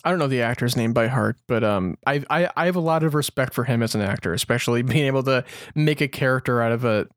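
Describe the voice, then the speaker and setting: A man's voice: medium pitch, quick pace, hardly any pauses, no echo. Man co-hosting a podcast, dry close sound. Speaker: podcast co-host. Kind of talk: casual chat about fan questions.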